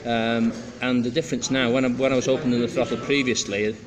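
A man's voice: medium pitch, flat delivery, quick pace, distant mic, crowd noise. A middle-aged man chatting casually at a motorcycle race track, rambling with fillers and restarts. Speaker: motorcycle track day vlogger. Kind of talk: casual vlog chat. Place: paddock garage at a race track.

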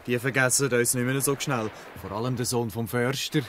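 A man acting as a defiant, gloating member of a boys' street gang. He has a Swiss German accent and a medium-pitched voice, with some noise in the background. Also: fairly even intonation, brisk, a bit bright, natural voice. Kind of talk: dialect radio play acting.

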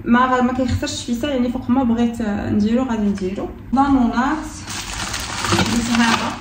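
Young Moroccan woman, quick casual talk, roomy kitchen echo, camera a few feet away, background noise. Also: fairly high pitch. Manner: chatty, relaxed, many hesitations. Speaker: vlogger. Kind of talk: casual vlog narration. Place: apartment kitchen, indoors. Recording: echoey room, distant mic, noisy background.

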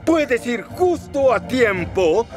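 Un actor de acento latinoamericano interpreta a una vieja locomotora de vapor, animosa y pícara, con discursos teatrales de caricatura infantil. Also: male voice, very high-pitched, very animated, slow, loud music behind the voice, heavily acted. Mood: rousing. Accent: Latin American. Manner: theatrical, exclamatory speechmaking. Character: spirited old steam engine, cheeky and rousing storyteller. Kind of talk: animated children's cartoon acting.

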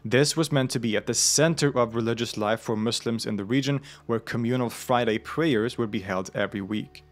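Male narrator, mid-range voice, measured explanatory documentary read with light asides. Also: medium pitch. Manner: measured, informative, with the odd aside. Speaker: host of a religion history channel.

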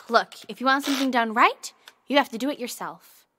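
An actress playing a stubborn, self-doubting young starship ensign in an audio drama, with a casual, blunt, questioning way of talking. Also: female voice, a little high, moderate pace, pushed and bright, dry recording, clean studio sound. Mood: defensive.

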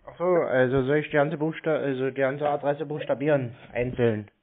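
A man's voice: medium pitch, fairly even intonation, moderate pace, a little hiss or hum. A man on a phone call, dawdling and acting clueless as he hesitantly spells things out. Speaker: prank caller toying with phone scammers. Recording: tinny narrowband phone line.

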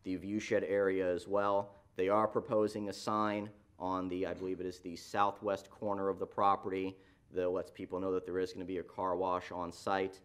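Municipal planner, low voice, measured explanatory delivery, lots of "uh"s and restarts. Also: male voice, flat delivery, clear, resonant tone. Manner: explanatory, frequent fillers and restarts. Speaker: city planning staff presenter. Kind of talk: staff report at a public meeting. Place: council chamber.

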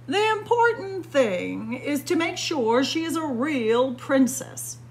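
A woman's voice: sits high, sing-song, slow, a little mellow, a little hiss or hum, pitched above the performer's own voice, full character voice. A woman reading a storybook, voicing a proud married prince who gives advice in an emphatic, instructive way. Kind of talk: storybook read-aloud.

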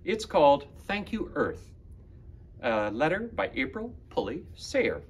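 Male school librarian, fifties, slow and animated storytime delivery for young listeners. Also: fairly high pitch, slight background noise. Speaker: school librarian, guest reader. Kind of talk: picture book read-aloud for children. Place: school library room, indoors.

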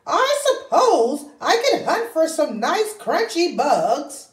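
Brief: a panicky little storybook hen, shrill clucking voice, lively swoops. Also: female voice, full character voice. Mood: fretful. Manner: anxious, drawn-out exclamations. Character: fretful nervous hen, small female, endlessly worried. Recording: echoey room.

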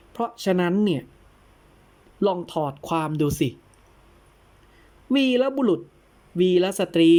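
Woman as male mentor, patient, probing, didactic, very slow pacing, lowish female register, audio tale dialogue. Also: dark tone. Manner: deliberate, didactic, breaks words into syllables. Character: patient, probing old-fashioned male teacher instructing his pupil.

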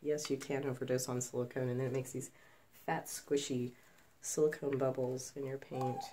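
A woman's voice: very deep voice, level tone, normal speed, mellow, slight background noise. A woman with a cold, chatting casually as she demonstrates a paint pour at her home craft table, recorded on an overhead phone. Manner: chatty, self-deprecating asides. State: has a cold, snuffly. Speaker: acrylic pour artist. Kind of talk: casual tutorial chat while working. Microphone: phone camera overhead.

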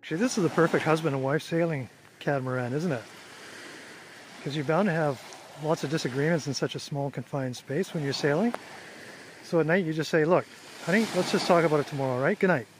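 A man's voice: fairly high pitch, fairly quick, a little hiss or hum, low-quality recording. A man casually narrating a travel vlog, wry and chatty, chuckling to himself. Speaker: travel vlogger.

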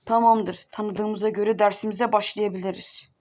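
A woman's voice: medium pitch, fairly even intonation, normal speed, a little mellow, voice pushed down, close to an everyday voice. A woman voicing a stern cartoon schoolteacher who lectures and scolds the class. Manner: bossy, lecturing.

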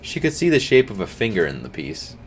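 A young man reading a scary story aloud at home, tripping over words now and then and adding quiet asides. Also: lowish voice, wildly swooping pitch, fairly quick, a little hiss or hum. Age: young adult. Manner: reads with slips and restarts, drops to quiet asides. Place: home streaming room, indoors.

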